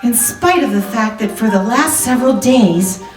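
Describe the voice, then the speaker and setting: Woman playing an anxious, high-voiced radio-drama wife. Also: expressive, moderate pace, natural voice. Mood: anxious, polite. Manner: polite, fretful, hesitant. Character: anxious, genteel young married woman seeking a detective's help. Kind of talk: 1940s radio drama acting. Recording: roomy, distant microphone, music behind.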